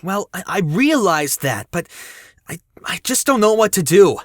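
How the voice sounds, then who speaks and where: A woman's voice: lowish voice, sing-song, a little slow, dry recording, studio quality. A woman playing an anxious, reluctant teenage boy hero in an audio drama, hesitant and exasperated. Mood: flustered.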